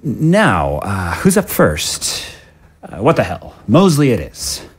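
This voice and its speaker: An actor playing a flustered, polite politician in an audio drama, with a hesitant, apologetic manner. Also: male voice, fairly low pitch, huge pitch swings, slow pace, breathy edge, full character voice. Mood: awkward. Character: flustered, polite male politician with new aide. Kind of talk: audio drama dialogue acting.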